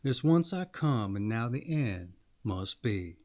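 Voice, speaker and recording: A man voicing a gentle, sorrowful young queen in a storybook, solemn as if chanting verse. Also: mid-range voice, big pitch swings, slow pace. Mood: mournful.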